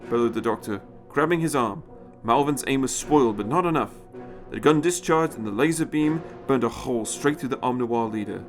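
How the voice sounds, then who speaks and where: A man's voice: mid-range voice, brisk, few pauses, soft background music. A man giving a dramatic scripted narration of a story as its narrator.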